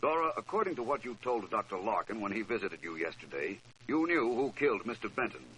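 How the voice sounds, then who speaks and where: A self-assured gentleman sleuth from a 1940s radio mystery. His voice is lowish with lively inflection, and he probes smoothly without getting ruffled. The old radio recording has noise behind it. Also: fast, poor audio. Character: cool, assured gentleman detective, probing and unruffled.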